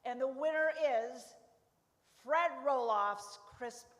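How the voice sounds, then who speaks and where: A woman's voice: high-pitched, slow. A woman playing an unflappable presenter formally announcing awards in a comic live radio play. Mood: composed. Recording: reverberant church hall.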